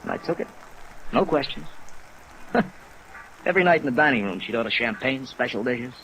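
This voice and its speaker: A man's voice: mid-range voice, expressive, normal speed, a bit bright, poor audio. A man acting as a chagrined, middle-aged veteran hotel operator in a 1950s radio drama, hesitant and self-mocking. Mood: rueful indignation. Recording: vintage radio recording under rain noise.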